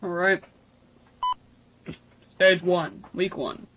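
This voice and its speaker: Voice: medium pitch, steady tone, very slow. A casual reaction video host with a mid-range voice, reading text aloud and commenting on it in an offhand way. There is some faint noise and clicking in the recording. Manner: casual asides between read-out passages.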